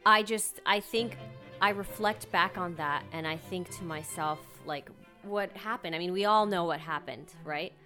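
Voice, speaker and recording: A woman answers questions in a conversational interview. Her voice is slightly low for a woman, and she sounds earnest and reflective, working out thoughts as she talks. Music is faintly audible behind her. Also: measured pace, sharp tone. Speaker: violinist, interview guest.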